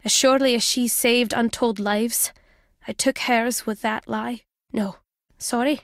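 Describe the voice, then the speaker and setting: A woman voicing a guilt-ridden, earnest middle-aged former journalist in a video game, in a measured, confessional way. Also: a little high, steady tone, dry recording, clean studio sound. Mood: anguished, guilty. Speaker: voice actor.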